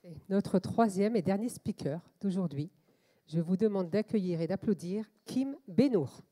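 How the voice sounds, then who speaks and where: A woman co-hosts a conference and introduces the next speaker in a slow, formal way. Her voice is very dark and mellow, at mid pitch. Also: lively intonation. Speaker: event co-host.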